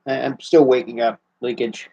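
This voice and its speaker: Fifty-something american guy rambling on a webcam stream at home, chill and halting, kinda muffled sound. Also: mid-range voice, slightly rough. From United States. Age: 50s. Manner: relaxed, halting, many restarts and fillers. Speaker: livestream host answering viewer questions. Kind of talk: casual live Q&A chat. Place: small room at home, seated in armchair indoors. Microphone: webcam at close range. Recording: slightly dull lo-fi webcam audio.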